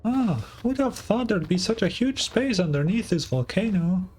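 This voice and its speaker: A man's voice: high voice, lively intonation, normal speed, darkish tone, voice pushed up. A man voicing a small, chirpy, childlike female fairy companion in a video game, bubbly and chatty, with game music behind. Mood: amazed, chatty. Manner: bubbly, chatty, refers to self by name.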